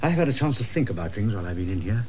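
A man's voice: fairly low pitch, sing-song, racing, darkish tone. An actor playing a suave imprisoned villain in a 1970s television drama, silky and mock-polite as he feigns remorse. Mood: mock contrite. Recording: noisy old television soundtrack.

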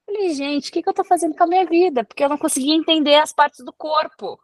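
A woman from Brazil with a Brazilian Portuguese accent, a researcher talking as a guest in a relaxed interview and explaining with everyday examples. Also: high voice, fast talker, no echo. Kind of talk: casual interview conversation.

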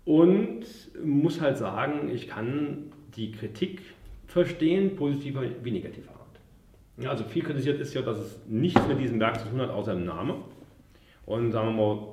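A man sits some way from the mic in an echoey room, chatting about film. His voice is of average pitch. He talks in a halting, searching way and often tacks on little questions to check agreement. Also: lively intonation, a little mellow, distant mic, slight background noise. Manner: halting, frequent tag questions. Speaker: podcast host and military historian. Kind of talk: casual film discussion.

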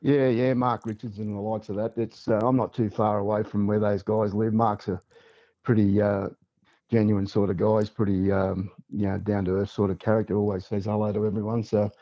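A man's voice: lowish voice, moderate pace, no echo, rough recording. A man with an Australian accent, a former rugby league player, chatting casually as a podcast guest and saying "mate" a lot. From Newcastle, Australia. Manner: relaxed, chatty, says mate often. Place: on the line for a podcast.

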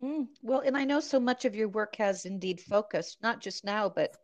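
A woman's voice: medium pitch, normal speed. A woman interviewer asking questions in a conversational style.

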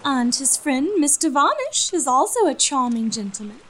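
A woman playing a lovestruck, gently chiding young maiden in a gothic comedy audio drama, with theatrical old-fashioned diction. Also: high voice, sing-song, normal speed, poor audio. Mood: lovelorn.

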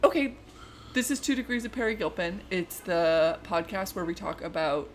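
Deep-voiced woman in her twenties, dry humor. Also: lively intonation, normal speed, a little hiss or hum, low-quality recording. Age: 20s. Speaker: podcast co-host. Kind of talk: casual chat. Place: small warm room without air conditioning.

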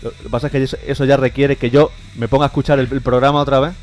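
A man's voice: mid-range voice, level tone, racing, faint music behind. A man from Spain with an Extremaduran accent, co-hosting a casual podcast chat and rambling with many restarts and fillers. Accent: Spanish, Extremaduran. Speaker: podcast co-host.

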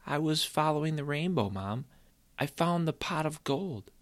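A man's voice: on the high side, animated, normal speed, no echo, voice pushed up, close to an everyday voice. A man putting on an eager, stammering six-year-old boy. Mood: excited.

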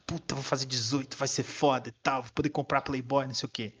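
A man's voice: medium pitch, level tone, fast talker, fairly bright tone, husky. Brazilian man in his late twenties, casually explaining. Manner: informal, explanatory, sprinkled with slang and swearing. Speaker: history teacher and podcast guest. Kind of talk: casual conversation.

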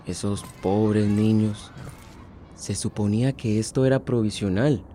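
A man's voice: fairly low pitch, fairly even intonation, a little slow, dark tone, slight background noise, close to an everyday voice. An actor playing a troubled, compassionate policeman and father in a radio drama. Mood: troubled, reflective.

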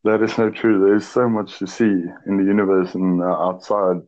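A South African man in his late twenties talking casually in a measured way, with frequent "uh" and "um". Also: steady tone, a little mellow. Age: 20s. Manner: measured, chatty, slips in "uh" and "um". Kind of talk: casual chat. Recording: muffled low-fidelity audio.

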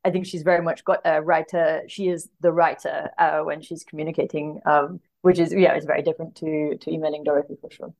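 A woman's voice: low voice, little pitch movement, brisk, creaky, few pauses, a little mellow. A woman speaking as a guest translator in casual podcast chat, thoughtfully telling anecdotes and often circling back to rephrase.

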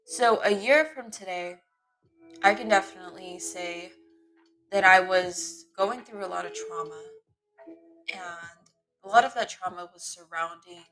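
A woman hosting her own podcast, talking off the cuff in a candid, rambling way, her voice sometimes smooth and sometimes raspy. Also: on the low side, measured pace, a bit bright, soft background music, poor audio. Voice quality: voice shifts between smooth and raspy. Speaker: podcast host. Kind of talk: unscripted solo podcast monologue. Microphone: computer mic in web browser.

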